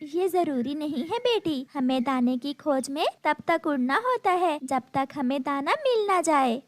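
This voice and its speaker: A woman voicing a gentle mother bird in a cartoon, soothing and patiently instructive. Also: sits high, lively intonation, unhurried, no echo. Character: gentle mother bird, caring, patient, teaching her young.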